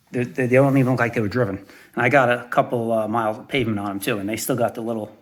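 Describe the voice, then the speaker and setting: A man in his forties from southern Arizona giving a casual, rambling walkaround review at home outdoors, full of fillers and restarts. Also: mid-range voice. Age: 40s. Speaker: vlogger reviewing his own quad.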